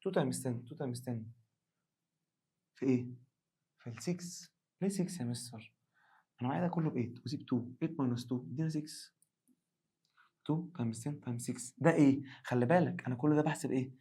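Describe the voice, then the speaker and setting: A male science teacher with an Egyptian Arabic accent, hoarse, giving an animated exam revision lesson and acting out students' questions. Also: mid-range voice, brisk, pauses often.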